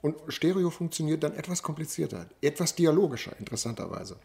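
Gravelly man explaining in measured phrases. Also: on the high side, sing-song, darkish tone. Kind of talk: reflective interview talk.

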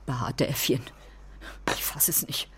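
A woman's voice: rumbling low, fairly even intonation, measured pace, background noise. A woman playing an indignant mother in a children's radio play, lecturing with reproachful emphasis.

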